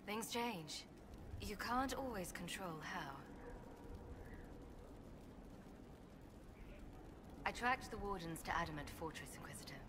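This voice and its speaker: Actress as a low-voiced grim heroine, noisy surroundings. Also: female voice, natural voice. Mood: somber, resigned. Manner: blunt, somber. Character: tough, world-weary heroic woman, grim and cynical. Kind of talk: serious fantasy game acting.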